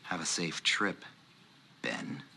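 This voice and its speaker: A man's voice: takes it slowly, pushed and bright. A man playing a cold government agent in an audio drama, curt, officious and businesslike. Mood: casual, cold. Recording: heavy background noise, captured-on-recorder sound.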